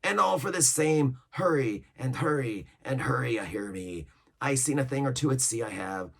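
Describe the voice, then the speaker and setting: A scheming older cook with a gravelly growl, as a man reads him aloud. He blusters and drops to rough whispers, the pitch swooping widely. The voice stays husky and low. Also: lively intonation, moderate pace, fairly bright tone, heavily acted. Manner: growling, theatrical, shifting between bluster and whispers. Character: scheming one-legged pirate cook, older man, cunning.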